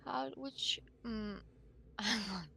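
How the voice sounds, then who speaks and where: A woman's voice: fairly high pitch, very slow, creaky, bright, forceful tone, no room sound. A woman playing in a tabletop game, flustered as she works out the controls. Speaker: player. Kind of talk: casual game chat.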